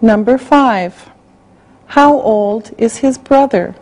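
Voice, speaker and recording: A woman reading a language-class listening exercise, spelling out words and dictating sentences slowly and carefully. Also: fairly high pitch, darkish tone, low-quality recording. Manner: slow, carefully spelled out, evenly paced. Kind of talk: slow scripted dictation.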